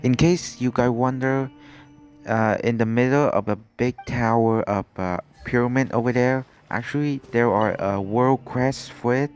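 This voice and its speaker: A man's voice: mid-range voice, a little slow. A man giving casual game reaction commentary as a streamer, in loose, hesitant phrasing. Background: game music.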